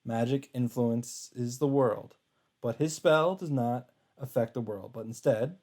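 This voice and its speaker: A man's voice: medium pitch, unhurried, mellow. A man reading a visual novel aloud as a let's player, in dramatic narration. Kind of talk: dramatic read-aloud narration with casual asides. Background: game music.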